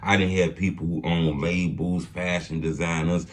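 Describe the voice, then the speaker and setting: A man with a very low, deep voice hosts a street interview. He talks in a laid-back, slangy way, asking questions and dropping quick affirmations. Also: fairly even intonation. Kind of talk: casual interview.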